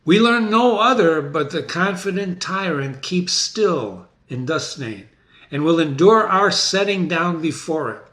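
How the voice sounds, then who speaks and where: Man reading a grave, deliberate army general. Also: on the high side, lively intonation, fairly bright tone, echoey room. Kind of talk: dramatic stage reading of Shakespeare.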